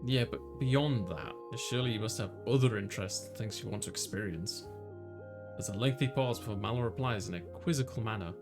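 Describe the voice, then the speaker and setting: A man's voice: lowish voice, brisk, over music, close to an everyday voice. A man voicing a flustered young male college student who narrates his own story in a visual novel. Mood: easygoing.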